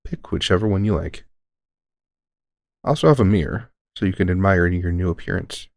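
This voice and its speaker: A man playing a deranged centuries-old elf shopkeeper who is courteous, formal and unsettlingly calm, in an audio roleplay. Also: low-pitched, normal speed, creaky, no room sound, clean studio sound. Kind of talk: scripted audio roleplay addressing the listener.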